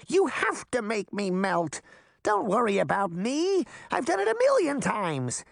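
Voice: mid-range voice, huge pitch swings, a little slow, gravelly, no room sound, studio quality, voice pushed up, full character voice. A narrator voicing an eager, pushy female witch who insists on being melted. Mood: insistent. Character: eager theatrical female witch, cheerfully fated to melt.

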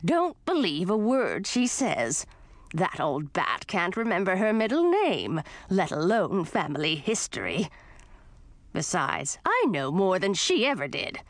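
A woman voicing a cranky, rude witch aunt with a raspy, lizardy voice in a storybook reading. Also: fairly low pitch, huge pitch swings, measured pace, no echo, exaggerated voice. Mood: gruff, scornful. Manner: barking, grumbling, dismissive.

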